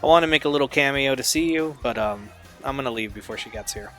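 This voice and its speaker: A man playing an apologetic, weary man who avoids conflict in an audio drama, hesitant and gentle. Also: mid-range voice, fast, soft background music, lightly acted. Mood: resigned, wistful.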